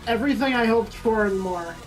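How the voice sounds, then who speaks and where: A woman's voice: a little high, brisk, rough recording. A woman chatting casually and informally as she streams game cutscenes. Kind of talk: casual chat. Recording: music playing behind the voice.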